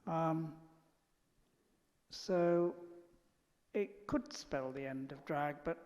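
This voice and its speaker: A man on a live panel speaks in a somewhat high voice, pausing and searching for his words. A long hall reverb hangs behind him. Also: a little mellow. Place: meeting house hall.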